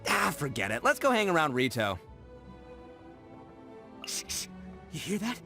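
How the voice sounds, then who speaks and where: An actor voicing a brash, boastful young oni gang delinquent in a video game, with an American accent and cocky, hammy, slangy bravado. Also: male voice, a little high, wildly swooping pitch, measured pace, sharp tone, faint music behind, heavily acted. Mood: casual, mulling. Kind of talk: animated video game character acting.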